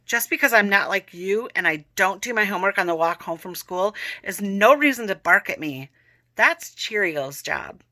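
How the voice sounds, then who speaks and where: A woman's voice: medium pitch, expressive, normal speed, bright, forceful tone. A woman voicing a prim, know-it-all little sister in a read-aloud story.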